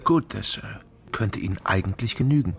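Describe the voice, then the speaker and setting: An actor playing a sober, wry private detective who narrates a crime radio drama in a laconic, dry manner. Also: male voice, fairly low pitch, animated, a little fast, vocal fry. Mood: sober questioning. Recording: music under the voice.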